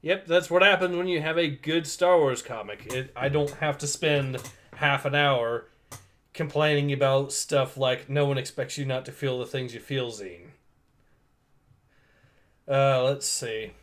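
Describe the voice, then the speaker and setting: Bright-voiced male livestream host. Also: medium pitch, fairly even intonation, measured pace. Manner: rambling, laughs often, many fillers. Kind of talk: casual livestream chat, reading comments.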